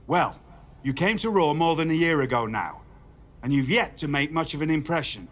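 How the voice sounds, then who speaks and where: A man playing an older general manager in a game cutscene, a stern, paternal mentor with a formal, measured, admonishing manner. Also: fairly high pitch, expressive, fairly bright tone. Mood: stern, challenging. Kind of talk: dramatic video game cutscene dialogue.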